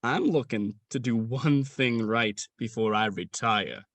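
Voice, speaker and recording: A young man acting in a comic radio play as a gruff, jaded old police sergeant, crude and rambling in his slangy talk. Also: medium pitch, unhurried, a bit bright, no echo. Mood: rambling, nonchalant. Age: young adult. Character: gruff, jaded veteran male police sergeant near retirement.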